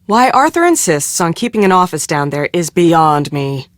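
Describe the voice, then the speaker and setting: Brisk, exasperated film crew boss, animated voice. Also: female voice, fairly low pitch, no echo, studio quality. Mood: brisk, bossy. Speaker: voice actor in an adventure game. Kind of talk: scripted game character dialogue.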